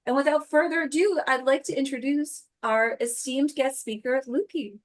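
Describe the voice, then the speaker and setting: A woman's voice: high-pitched, moderate pace. A woman from a career services office, upbeat and promotional, introducing programs and a guest speaker on an online video call. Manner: upbeat, promotional, some restarts. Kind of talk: webinar introduction.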